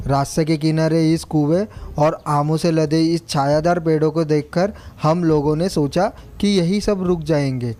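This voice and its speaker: A man's voice: on the high side, steady tone, a little slow, few pauses, warm tone, natural voice. A man playing a naive, respectful cart driver in a moral story, speaking earnestly and deferentially. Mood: respectful, puzzled. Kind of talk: acted moral story dialogue.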